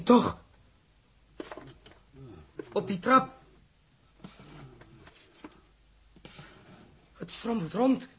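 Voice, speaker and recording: An actor playing a tormented, guilt-ridden, feverish man in a 1960s radio drama, halting and repeating his words. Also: sits high, fairly bright tone, slight background noise, full character voice. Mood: panicked.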